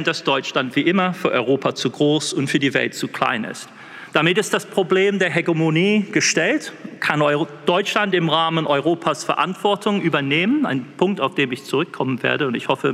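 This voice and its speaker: A man with an English accent gives a formal lecture in German, his second language, his slightly raised voice carrying through a long hall echo. He reads from a prepared text in a measured way and stumbles now and then. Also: on the high side, a bit bright. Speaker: guest lecturer.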